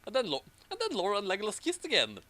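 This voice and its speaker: Male amateur reader, lively swinging intonation, mock-epic storytelling with wry commentary. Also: sits high, racing. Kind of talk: mock-dramatic reading of bad fan fiction with casual asides.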